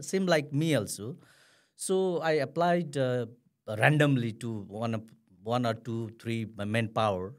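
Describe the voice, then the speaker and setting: A Nepali man with a Nepali accent, a non-native English speaker answering interview questions hesitantly with many fillers. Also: medium pitch. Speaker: guest, returned migrant worker.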